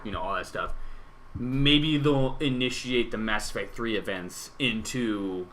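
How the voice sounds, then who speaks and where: A man hosting a podcast, explaining theories in casual gaming chat with animated delivery and some swearing. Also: medium pitch, unhurried, slight background noise. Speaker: podcast host.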